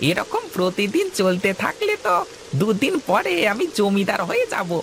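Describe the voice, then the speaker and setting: A woman's voice: medium pitch, sing-song, moderate pace, gravelly. A woman voicing a sly, greedy, boastful male servant in a cartoon, with a fawning, scheming manner. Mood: scheming, smug. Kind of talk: cartoon dialogue. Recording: faint rain noise behind voice.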